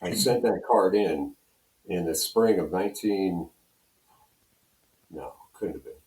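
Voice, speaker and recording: A man's voice: low voice, slow, darkish tone. An American man in his seventies telling stories in a casual, rambling way as an interview guest, often repeating his phrases. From Pennsylvania, United States. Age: 70s. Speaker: interview guest, radio program director and on-air personality. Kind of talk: casual storytelling reminiscence. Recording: roomy, echoing room sound.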